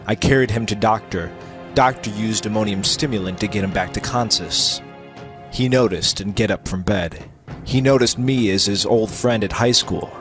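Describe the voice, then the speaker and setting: A man's voice: lowish voice, normal speed. Theatrical male storyteller over prominent music. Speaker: narrator. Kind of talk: dramatic reading of amateur pirate fiction. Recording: music playing behind the voice.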